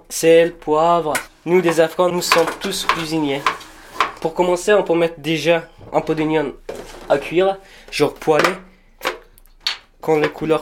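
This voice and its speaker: A man, a cook, explaining a recipe step by step while he works in a restaurant kitchen. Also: on the high side. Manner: plain step-by-step instruction. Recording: clattering kitchen noise.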